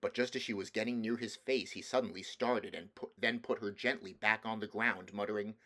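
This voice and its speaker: A man's voice: on the low side, fairly even intonation, a little fast, a bit bright. A man reading a children's storybook aloud as scripted narration. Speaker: audiobook reader.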